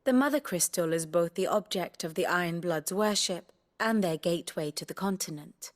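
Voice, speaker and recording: A woman playing a composed, learned lore-keeper in a video game, explaining history in a measured, articulate way. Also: on the low side, darkish tone, lightly acted. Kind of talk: scripted video game exposition.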